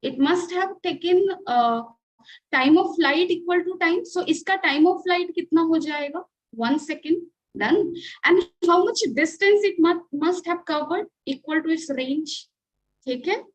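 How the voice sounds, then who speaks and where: A woman's voice: very high voice, normal speed, poor audio. A young Indian woman teaching physics in an online video class, mixing English and Hindi and often asking "right?" to check understanding. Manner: switches between English and Hindi, asks checking questions.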